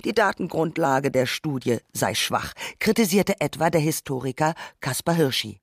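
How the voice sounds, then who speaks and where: A man's voice: on the high side, expressive, moderate pace, no room sound. A man narrating a scripted radio essay, arguing his points with dry irony.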